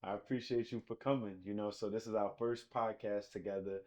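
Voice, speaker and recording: A man's voice: fairly low pitch, steady tone, fairly quick, dark tone. A man hosting a podcast, asking questions in loose casual speech with short affirmations. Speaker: podcast host.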